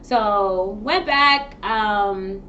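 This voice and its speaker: A woman from New York whose first language is Haitian Creole, telling her story in an interview in a lively, chatty way with frequent laughter. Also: mid-range voice, drawn-out words. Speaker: guest, makeup artist. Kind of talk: interview conversation. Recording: distant, dull, with clear background noise.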